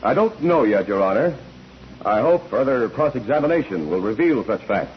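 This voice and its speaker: An actor playing an earnest, courteous criminal lawyer in a 1940s radio drama, speaking in a formal, measured way. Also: male voice, medium pitch, big pitch swings, natural voice. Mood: earnest, persuasive. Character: forceful, earnest criminal lawyer addressing clients and judge. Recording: dull, noisy old radio recording.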